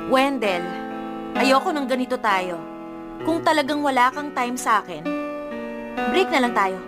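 A high-voiced, pouting young girlfriend. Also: expressive, a little slow, loud music behind the voice. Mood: hurt. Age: young adult. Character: hurt, sharp-tongued, pouting young girlfriend. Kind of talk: radio drama acting.

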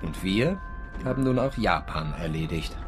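Brooding, paranoid literary traveller, theatrical radio-play delivery, low register, prominent music underneath. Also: male voice, lively intonation, moderate pace. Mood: brooding, weary. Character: feverish, paranoid German writer on a 1908 world voyage. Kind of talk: German radio drama, monologue and dialogue.

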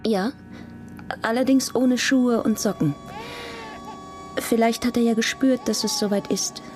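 A woman's voice: fairly high pitch, measured pace, a little mellow, close to an everyday voice. A woman playing a dead man's guarded, defensive daughter in a radio crime drama, clipped and prickly. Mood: defensive, irritated. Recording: music behind the voice.

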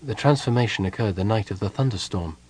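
A man voicing a soft, sorrowful priestess. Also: fairly low pitch, quick pace, husky. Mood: helpless. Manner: soft, murmuring, bitter. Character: sorrowful, soft-spoken ancient priestess in a young body.

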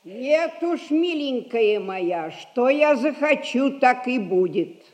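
Slow, imperious old merchant grandmother. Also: high voice, animated. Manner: slow, commanding, self-important speech. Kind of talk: theatrical Russian stage acting, 1960s audio play. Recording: reverberant hall, 1960s theatre recording.

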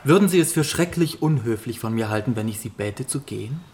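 An actor playing an earnest, devoted gentleman painter in a German radio play, courteous and somewhat pleading. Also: mid-range voice, animated, brisk, lightly acted. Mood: polite, uneasy.

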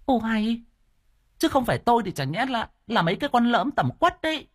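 A man voicing a sharp-tongued, shrewish village wife who scolds shrilly. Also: very high-pitched, big pitch swings, a little fast, slightly rough, voice pushed up, exaggerated voice. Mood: scolding.

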